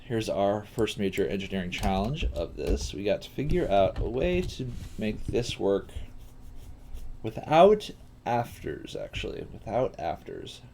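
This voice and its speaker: A man with a fairly low voice walks through a live coding lesson. He thinks aloud as he works, often pausing partway through a thought. There is noise behind him, with the sound of computer keyboard typing. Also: big pitch swings, creaky, warm tone. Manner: halting, pausing mid-thought while working. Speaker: coding teacher.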